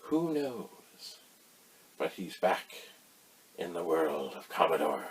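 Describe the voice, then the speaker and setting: A man with a fairly low, husky voice hosts a casual explainer. He talks in a folksy, rambling way, wandering into asides and pausing for emphasis. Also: sing-song, rough recording. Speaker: host of a retro computer tutorial channel.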